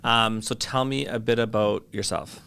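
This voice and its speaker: Male podcast host; gravelly, sharp-toned, animated delivery; relaxed and blunt. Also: on the low side, moderate pace. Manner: relaxed, blunt, swears freely. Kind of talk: casual interview.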